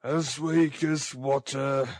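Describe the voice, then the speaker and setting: A man's voice: mid-range voice, fairly even intonation, slow, no room sound, close to an everyday voice. A man voicing a savage, ill-tempered housebreaker weakened by illness in a storybook reading, with a growling, bullying delivery. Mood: weak, surly. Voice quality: gravelly, growling. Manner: barking, impatient, bullying.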